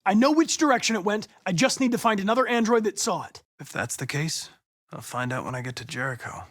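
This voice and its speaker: A man's voice: high voice, lively intonation, fairly bright tone, no echo, studio quality. A man voicing a young android police investigator in a video game, with an American accent and a precise, formal, mission-driven manner. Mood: cool, probing. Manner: precise, clipped, formal, measured, insistent when pressed. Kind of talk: video game voice acting, isolated dramatic lines.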